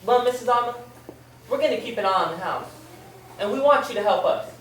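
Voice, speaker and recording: A woman playing a calm, polite policeman who questions a witness in a radio play, with probing, hesitant phrasing. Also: fairly high pitch, a little fast, close to an everyday voice. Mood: reassuring, instructing. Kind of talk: amateur old-time radio play acting. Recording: echoey, distant, with hiss.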